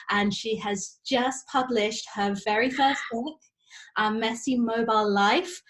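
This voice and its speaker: A woman hosting an interview in a casual, informal way, sprinkling in "like" and "sort of". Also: fairly high pitch. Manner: informal, filler-heavy phrasing with "like" and "sort of". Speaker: host.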